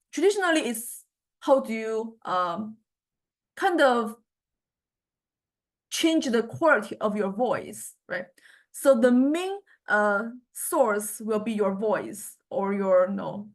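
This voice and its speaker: Halting woman lecturer with a higher-pitched voice. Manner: halting, searching for words, frequent checking "right?". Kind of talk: explanatory lecture, informal and unscripted.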